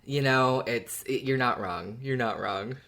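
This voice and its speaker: A woman's voice: very deep voice, unhurried, a bit bright, a little hiss or hum. A woman co-hosting a podcast in casual chat, with a dry, wryly teasing way of talking. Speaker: podcast co-host.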